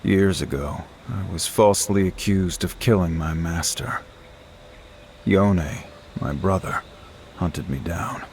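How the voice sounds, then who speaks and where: Low, brooding swordsman. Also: slow pace, a little mellow, a little hiss or hum. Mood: regretful. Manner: terse, grave, weary delivery. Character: brooding, guilt-ridden swordsman man on the run. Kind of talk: dramatic video game acting. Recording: game music behind the voice. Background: game music.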